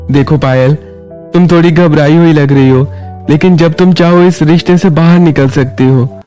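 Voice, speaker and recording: A man voicing a gentle, concerned young husband in a Hindi moral story, reassuring and considerate. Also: on the high side, steady tone, normal speed, soft background music, natural voice.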